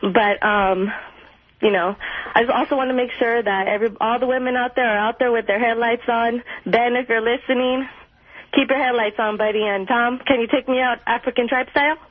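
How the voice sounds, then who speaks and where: A woman's voice: a little high, fairly even intonation, brisk. A woman calling in to a radio show, friendly and flirty with the host. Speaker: caller. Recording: tinny phone line on radio.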